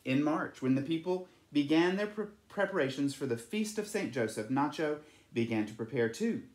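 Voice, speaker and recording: A man's voice: fairly high pitch, animated, normal speed, a little mellow. A man reading a children's picture book aloud as a storyteller. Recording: echoey room.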